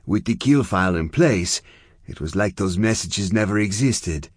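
A man's voice: fairly low pitch, expressive, moderate pace, slightly rough, no echo, clean studio sound, pitched above the performer's own voice. A man voicing a fierce, foul-mouthed young gang girl with an intense, theatrical delivery. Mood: explaining. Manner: intense, theatrical, non-native phrasing.

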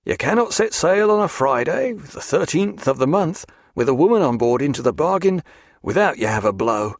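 Man playing a high-voiced, gloomy superstitious sailor. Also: very animated, normal speed, no echo, clean studio sound. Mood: dogged, foreboding. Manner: emphatic, old-fashioned nautical speech with proverbs. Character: dogged, superstitious, gloomy older sailor, deferential to his captain.

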